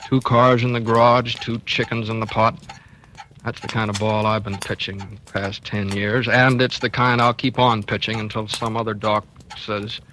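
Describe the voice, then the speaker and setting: An actor playing a weary, trapped doctor who is also the narrator, in a 1940s radio drama. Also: male voice, little pitch movement, moderate pace, fairly bright tone, close to an everyday voice, poor audio. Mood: bitter. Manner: bitter, low and confiding. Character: weary trapped middle-aged male doctor. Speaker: narrator and lead character. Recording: old radio broadcast with faint hiss.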